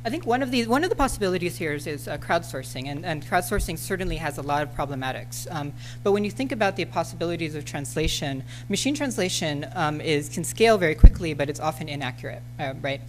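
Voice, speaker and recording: A woman in her thirties speaking as a panelist at a podium in a lecture hall, halting, with many ums and restarts. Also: sits low, expressive, a little hiss or hum. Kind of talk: conference talk with slides. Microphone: podium microphone.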